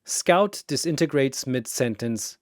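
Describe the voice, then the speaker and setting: A man narrating a dramatic battle story in a theatrical, measured way, with pauses between short phrases. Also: medium pitch, animated, no room sound, studio quality.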